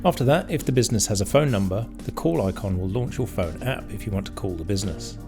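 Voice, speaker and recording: Low-voiced man narrating a tutorial over music. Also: expressive, fast talker. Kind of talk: scripted instructional narration. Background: background music.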